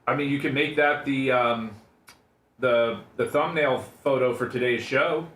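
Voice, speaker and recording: A man's voice: mid-range voice, measured pace. A man chatting casually as part of a radio show crew. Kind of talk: casual chat. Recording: echoey room with faint noise, clicks.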